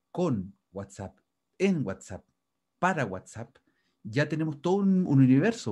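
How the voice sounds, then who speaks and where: A male university lecturer teaching over a video call. His voice is husky and mellow. He talks loosely, restarting phrases and tossing questions at his students. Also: medium pitch. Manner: rambling, frequent restarts and fillers, asks the class "¿ya?". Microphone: video call microphone.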